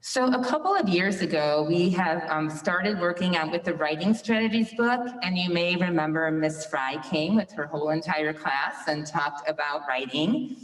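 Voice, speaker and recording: A woman from a school staff presenting to a school board in an upbeat way, with a loud teacher voice. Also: on the low side, normal speed. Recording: echoey hall from far off.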